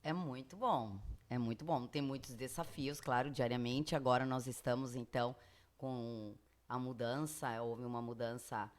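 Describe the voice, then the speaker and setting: A woman with a Spanish accent, a teacher talking in a measured, explanatory way on a school podcast, with many fillers. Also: rumbling low, fairly even intonation.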